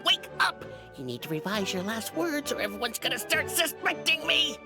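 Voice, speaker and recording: A woman's voice: sits high, sing-song, normal speed, over loud music. A woman playing a brash, exasperated, hot-tempered youngster in a fantasy game, blustering and indignant. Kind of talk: video game voice acting.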